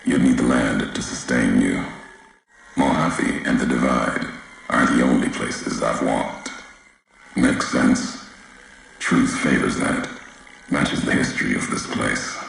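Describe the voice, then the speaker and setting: An actor playing a grave, brooding wasteland wanderer in a video game, solemn and cryptic, speaking in clipped sentences with a gravelly voice. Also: male voice, extremely low pitch, a bit bright, full character voice. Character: grave, brooding male wasteland wanderer, bitter about history. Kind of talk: dramatic scripted game dialogue. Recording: heavy echo, faint background noise.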